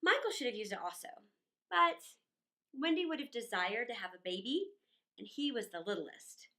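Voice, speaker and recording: A woman reading a children's story aloud in an expressive, playful, theatrical manner with chatty asides. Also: fairly high pitch, moderate pace.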